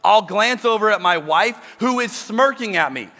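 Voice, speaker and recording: A middle-aged male pastor with a loud voice preaching a sermon, swinging from loud emphasis to quiet, intimate asides. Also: high-pitched, lively intonation, normal speed. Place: large church auditorium. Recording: reverberant hall with faint music behind.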